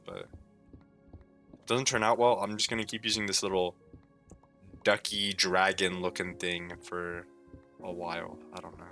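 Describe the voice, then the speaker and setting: Tired low-voiced young man streaming. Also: pushed and bright. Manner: laid-back, low and mumbly, lots of "like" fillers. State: tired, sore throat. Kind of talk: casual rambling stream talk.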